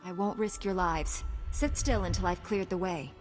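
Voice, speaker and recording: A woman voicing a calm, solemn and selfless mystic knight in a video game, speaking formally. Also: lowish voice, moderate pace, in a large hall, faint music behind, close to an everyday voice. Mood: resolute.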